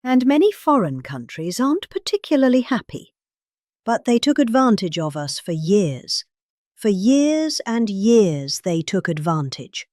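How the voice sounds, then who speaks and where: A middle-aged British woman reading out a rambling political speech theatrically, with odd pauses and exaggerated emphasis. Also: medium pitch, darkish tone, no room sound, clean studio sound.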